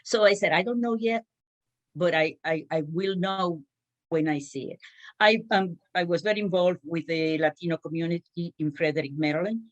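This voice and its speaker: A middle-aged woman from Cuba telling her own career story as a panelist, with a hesitant, pausing delivery. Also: fairly low pitch, dull audio. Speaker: panelist, translation business owner. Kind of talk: panel talk, personal career account.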